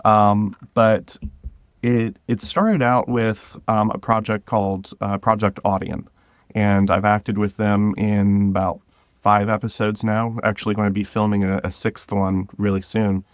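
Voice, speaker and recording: A man speaking as a podcast guest, explaining things informally and pausing to gather his thoughts. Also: on the low side, level tone, clean tone, no echo, studio quality. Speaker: podcast guest, drama creator. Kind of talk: conversational interview.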